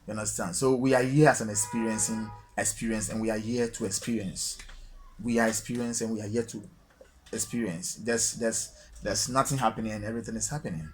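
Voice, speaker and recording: A man from Ghana with a Ghanaian accent, talking alone to his viewers in a halting, reflective way, full of fillers and repeated words. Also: lowish voice, fairly even intonation, a little hiss or hum. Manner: slow, reflective, repeats phrases for emphasis. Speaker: solo vlogger. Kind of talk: unscripted spiritual musing. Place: alone, lighting fading.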